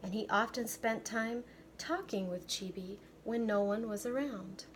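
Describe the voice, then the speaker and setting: An American woman, a teacher, reading a picture book aloud with deliberate, expressive phrasing meant for children. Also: mid-range voice, slight background noise. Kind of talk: read-aloud storytelling.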